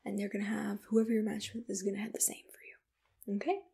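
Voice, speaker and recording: A woman whispering breathily in a soft ASMR roleplay, with a gentle, reassuring, attentive manner, as if giving personal attention to a client. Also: mid-range voice, flat delivery, brisk, vocal fry, breathy edge. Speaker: ASMR roleplay host as a monster matchmaker.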